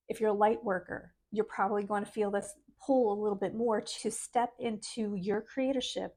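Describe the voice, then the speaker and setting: A middle-aged woman with a soft voice, talking earnestly and casually to camera as a spiritual vlogger. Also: medium pitch. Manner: earnest, chatty, laughs between thoughts.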